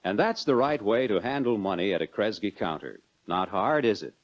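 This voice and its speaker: An American man narrating a 1940s instructional film in a measured, instructive manner, addressing the viewer directly. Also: fairly low pitch, expressive. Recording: dull lo-fi 1940s film soundtrack.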